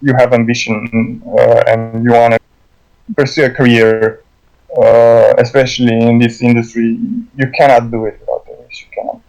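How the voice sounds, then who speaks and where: A man with a Romanian accent, a native Romanian speaker, chatting as a guest artist, halting and stuttering with frequent restarts. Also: medium pitch, little pitch movement, creaky, darkish tone, rough recording. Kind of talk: casual conversation.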